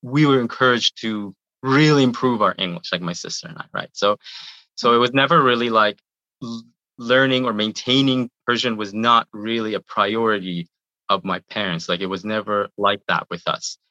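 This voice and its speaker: A man's voice: fairly low pitch, moderate pace, pushed and bright, no room sound, lo-fi sound. A man in his thirties, born in Iran, chatting in an interview and often repeating words as he talks. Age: 30s. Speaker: guest, YouTuber. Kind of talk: casual interview answers.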